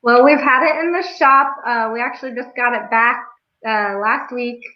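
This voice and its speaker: Female guest, casual talk, a little high pitched, muffled and roomy video-call sound. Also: fairly even intonation, moderate pace. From Florida, United States. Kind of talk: casual chat. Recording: echoey, dull video-call audio.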